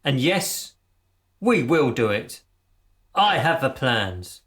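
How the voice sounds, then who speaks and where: An actor playing a grandiose leader of an amateur crew, speechifying with pompous passion in a radio comedy drama. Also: male voice, medium pitch, big pitch swings, takes it slowly, a bit bright. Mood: grandiose, excited.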